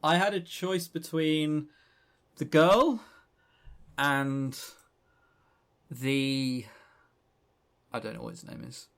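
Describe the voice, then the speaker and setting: A man's voice: fairly high pitch, fairly even intonation, very slow, gravelly, long pauses. A man co-hosting a podcast, chatting casually and unscripted about games in a joking, teasing way. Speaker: podcast co-host.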